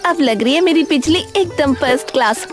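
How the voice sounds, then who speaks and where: A woman voicing a hardworking, cheerful young village food seller in a cartoon, earnest and expressive. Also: high-pitched, normal speed, over music, pitched above the performer's own voice. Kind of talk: cartoon dialogue.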